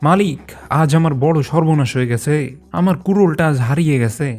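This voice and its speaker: Man voicing a whiny, higher-pitched greedy woodcutter. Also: lively intonation, fairly quick, darkish tone, faint music behind, close to an everyday voice. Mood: pleading. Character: greedy dishonest woodcutter, whining and self-pitying. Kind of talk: animated folk-tale dialogue.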